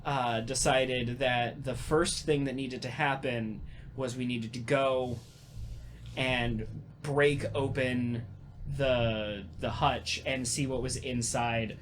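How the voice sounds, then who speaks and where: A man chatting casually as a podcast host, telling stories in an animated, halting way with casual swearing. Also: medium pitch, a little hiss or hum. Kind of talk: casual podcast chat and storytelling.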